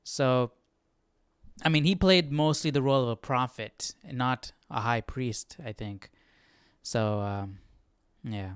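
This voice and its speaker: Clear-voiced man thinking aloud, dry sound. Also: medium pitch, takes it slowly, pauses often, dry recording. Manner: hesitant, thinking aloud, often seeking agreement with "right?". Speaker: Bible study livestream host. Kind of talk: casual unscripted teaching commentary.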